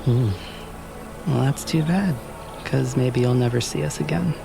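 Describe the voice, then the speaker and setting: Here is a wry tabletop-story character played by a man who talks of death with dry amusement. The delivery is slow and teasing, with a creaky edge to the voice. Music sits faintly underneath. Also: medium pitch, voice pushed up, rough recording.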